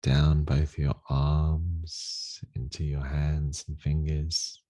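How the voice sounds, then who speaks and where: A man leading a guided meditation in a gentle, unhurried, soothing manner with long pauses. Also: rumbling low, level tone, dry recording. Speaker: meditation teacher.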